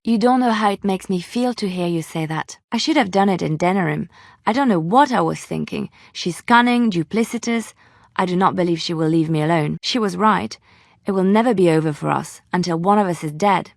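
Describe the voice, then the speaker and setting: A woman's voice: fairly low pitch, fairly quick, vocal fry, no echo, clean studio sound. An actress voicing a devout, playful young woman adventurer in a video game, sincere and chatty in manner. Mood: grave, resolved. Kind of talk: video game character dialogue.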